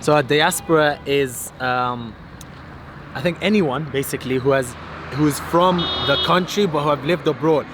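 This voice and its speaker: Clear street and traffic noise runs behind a British man with a fairly high voice. He talks like an informal tour guide, listing and explaining things in a chatty, casual manner. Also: moderate pace, fairly bright tone. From United Kingdom. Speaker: local guide. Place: outdoors on city streets and in vehicles. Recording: noisy outdoor street and traffic.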